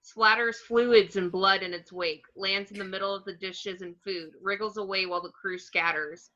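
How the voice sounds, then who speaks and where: A woman's voice: medium pitch, steady tone, moderate pace, pushed and bright. A woman narrating screenplay action descriptions in an amateur table read, on muffled lo-fi audio.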